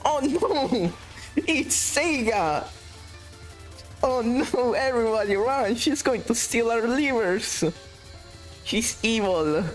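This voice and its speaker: Excitable Italian-accented male game streamer. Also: very high voice, slow pace, pauses often, faint music behind, low-quality recording. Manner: excitable, swearing, reading chat aloud. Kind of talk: casual gameplay commentary.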